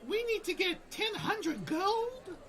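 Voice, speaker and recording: A woman's voice: extremely high pitch, lively intonation, takes it slowly, fairly bright tone, full character voice. A woman playing a scatterbrained, anxious gnome sorcerer in a comedy radio play, flighty and hesitant. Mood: distracted.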